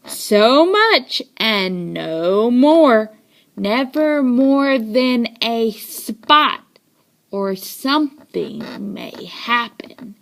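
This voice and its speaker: A woman voicing a cautioning male fish seller in a storybook, measured and emphatic. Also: a little high, lively intonation, exaggerated voice.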